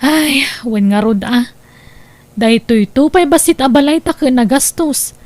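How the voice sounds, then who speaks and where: An actress plays a nosy village woman in an Ilocano comedy drama. Her voice is high and closely, dryly recorded, with a chatty, gossiping delivery and an Ilocano accent. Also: animated, unhurried, darkish tone, dry recording. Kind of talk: Ilocano comedy radio-style drama acting.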